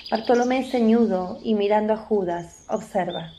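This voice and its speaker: A woman's voice: mid-range voice, moderate pace, mellow, a little hiss or hum. A woman reading scripted narration for a biblical radio drama.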